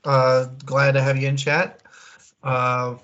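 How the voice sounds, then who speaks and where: A man with an average-pitched voice co-hosts a casual book discussion stream. He talks informally, rambling along with a wry touch. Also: level tone, slow, fairly bright tone, clear, resonant tone, lo-fi sound. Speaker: co-host of a book club livestream.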